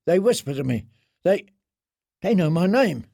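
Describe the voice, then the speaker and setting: Guy doing a desperate tearful young man in an audiobook, raised voice, slow and dry. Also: high-pitched, big pitch swings, gravelly, warm tone, no room sound, voice pushed up, exaggerated voice. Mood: frightened. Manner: pleading, tearful, trembling. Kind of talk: audiobook character voice.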